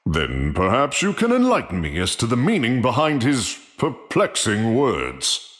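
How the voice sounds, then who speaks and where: An actor voicing a proud, pompous thunder god in a video game, with archaic formal speech. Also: male voice, mid-range voice, wildly swooping pitch, unhurried, fairly bright tone. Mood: proud, resolute. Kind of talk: theatrical video game voice acting.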